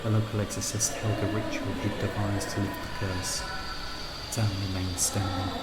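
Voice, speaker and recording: A man reading scripted literary narration as a storyteller, in measured, deliberate phrases with pauses. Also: lowish voice, little pitch movement. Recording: music under the voice. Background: music.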